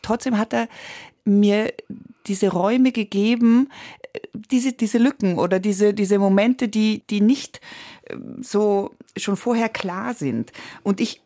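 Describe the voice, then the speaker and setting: A woman, an actress whose first language is Italian, answering interview questions in a reflective, searching way with frequent hums and soft laughs. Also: medium pitch. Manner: thoughtful, searching, with many hums and small laughs.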